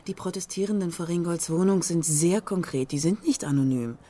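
An actress playing a persistent, quick-witted reporter, hesitant yet challenging, in a radio crime drama. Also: female voice, lowish voice, warm tone, lightly acted.

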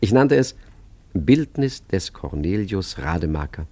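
A sly arty con man in a radio play, low voice, theatrical and ironic. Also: sing-song, a little slow, a little mellow, heavily acted. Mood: grandiose, savoring. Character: suave, cheeky male art forger and street painter. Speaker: narrator and lead actor. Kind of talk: German radio play acting and narration.

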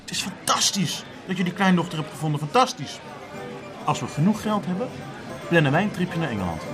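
Animated, sarcastic young man. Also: fairly high pitch, fairly quick, music in the background. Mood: excited. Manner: sarcastic, emphatic. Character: excitable, sarcastic young man, griping about his job. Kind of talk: naturalistic Dutch radio drama acting.

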